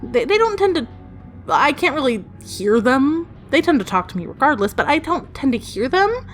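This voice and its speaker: A woman's voice: sits high, sing-song, moderate pace. A woman telling personal stories casually in a chatty, rambling, playfully emphatic way. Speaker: storytelling video creator. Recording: music behind the voice. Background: background music.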